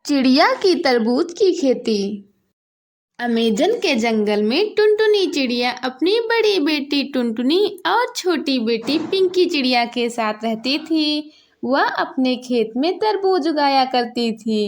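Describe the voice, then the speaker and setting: A woman's voice: sits high, animated, slow, slight background noise. A woman narrator reading a scripted children's cartoon story.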